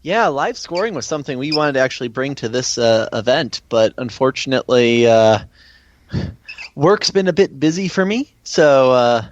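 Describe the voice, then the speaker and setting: A man's voice: mid-range voice, expressive, moderate pace, muffled recording, slight background noise. An American man talking casually as an interview guest and event organizer.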